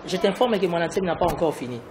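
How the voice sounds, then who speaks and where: A man's voice: high voice, lively intonation, racing, crowd noise. A man from the Congo with a Congolese accent, giving political commentary as an interviewed analyst, emphatic and often repeating his phrases.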